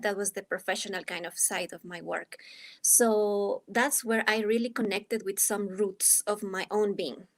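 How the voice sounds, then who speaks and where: A woman from Ecuador with an Ecuadorian Spanish accent, answering interview questions in a reflective, hesitant way as she searches for words. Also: mid-range voice. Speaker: guest, dance artist and anthropologist.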